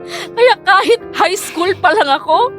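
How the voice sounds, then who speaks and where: High-pitched, pleading young Filipino daughter. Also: female voice, animated, a little slow, a bit bright, exaggerated voice. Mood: tearful, defiant. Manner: emotional, pleading, earnest. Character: hardworking working-student daughter, weary, pleading, pushed to tearful defiance. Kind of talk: Filipino radio drama acting. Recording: music bed under the voice.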